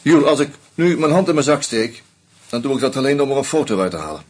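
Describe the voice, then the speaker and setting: A man's voice: medium pitch, sing-song, fast talker, breathy edge. An actor playing Hans, a bitter, drunk man who narrates and sardonically confronts a murderer in a radio play. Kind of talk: first-person radio drama narration.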